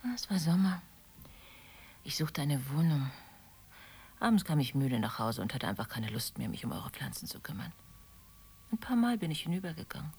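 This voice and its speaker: A pensive woman with quiet reproach for an old lover. Her voice is lowish and husky, often sinking toward a whisper, with lively swings in pitch. It is intimate radio drama, pointed and softly ironic. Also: moderate pace, long pauses, heavily acted. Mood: wistful recollection. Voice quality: husky, breathy, often dropping to near whisper. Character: wistful, gently reproachful woman, former lover of a married man. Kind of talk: German radio play dialogue.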